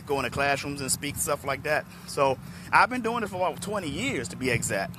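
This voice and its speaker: A middle-aged man from Washington, D.C., a motivational speaker, talking hoarsely and chattily to viewers on a phone held at arm's length outdoors. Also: on the high side, noisy background. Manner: relaxed, chatty, addresses viewers by name. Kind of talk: live-streamed talk to viewers. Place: outdoors in a parking lot under trees.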